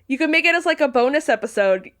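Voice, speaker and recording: A woman's voice: high-pitched, lively intonation, quick pace, a bit bright, a little hiss or hum. A woman chatting casually as a podcast guest, playful and slangy, riffing and acting out bits.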